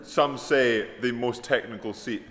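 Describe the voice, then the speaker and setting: A man's voice: medium pitch, unhurried, fairly bright tone, roomy sound. A Scottish man in his twenties, jokingly interviewing his rowing crewmates for a vlog. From Scotland. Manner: jokey, teasing, laughs often. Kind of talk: playful mock interview.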